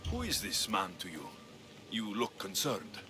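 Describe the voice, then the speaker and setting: A man's voice: on the low side, wildly swooping pitch, measured pace. A man acting a bold, self-assured Greek mercenary in a video game, wry and probing. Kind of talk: scripted video game dialogue.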